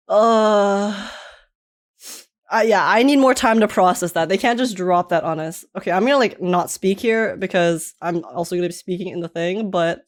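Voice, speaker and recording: A woman streamer giving casual live game reactions in an excitable, giggly, emotional manner. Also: medium pitch, measured pace, pauses often.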